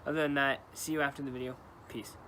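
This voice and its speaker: A young man hosts the interview and talks quickly in a laid-back, casual way, with a few fillers. His voice sits at a middling pitch. Clear background noise runs under him. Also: little pitch movement. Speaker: interviewer and channel host.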